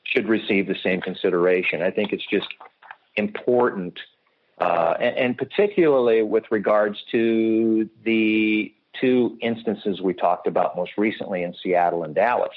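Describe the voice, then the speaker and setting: Low-pitched man on a phone-like line. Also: a little slow. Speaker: guest expert. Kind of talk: podcast interview, explanatory.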